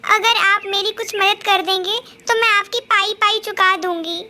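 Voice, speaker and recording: A woman's voice: very high-pitched, steady tone, moderate pace, sharp tone, exaggerated voice. A woman voicing a hardworking, anxious mother bird in a cartoon story, speaking in an earnest, pleading manner. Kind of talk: Hindi cartoon story dialogue.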